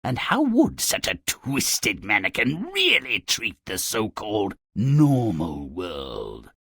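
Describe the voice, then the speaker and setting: Video game narrator role: malicious, sardonic gnome, raspy and forceful, slow relishing delivery of dark fairy tales, exaggerated and mocking. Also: male voice, fairly low pitch, wildly swooping pitch, no echo, studio quality, heavily acted. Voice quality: raspy, gravelly.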